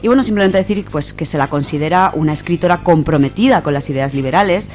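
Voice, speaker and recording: A woman's voice: deep voice, fast, darkish tone, faint music behind. A woman with an accent from Spain, presenting and reading on a literary radio segment. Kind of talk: radio talk and reading of a first-person short story.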